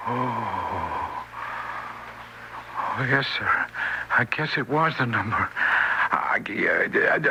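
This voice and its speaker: An actor playing a bumbling, meek, kindly ghost guide who stammers deferentially, in old-time radio drama style. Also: male voice, fairly high pitch, pushed and bright, full character voice, poor audio. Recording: hissy vintage radio play recording.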